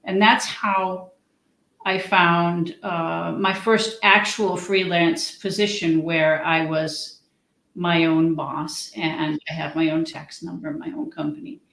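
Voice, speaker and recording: A woman's voice: on the low side, steady tone, slow, gravelly, fairly bright tone. A middle-aged woman from the United States, a guest in a conversational interview, talking with many restarts and ums. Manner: hesitant, frequent restarts and ums. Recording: echoey room.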